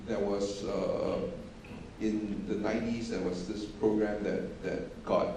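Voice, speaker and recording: Man, lowish voice, mellow, matter-of-fact, many restarts, echoey hall, distant. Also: fairly even intonation, slow pace, a little hiss or hum. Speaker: panelist. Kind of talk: panel discussion.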